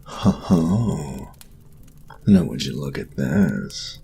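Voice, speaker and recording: Man acting very deep, gravelly, cocky flirty bully. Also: expressive, mellow, a little hiss or hum, heavily acted. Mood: flirty teasing. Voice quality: breathy, gravelly low voice. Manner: slow smug drawl, taunting. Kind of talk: intimate roleplay audio acting.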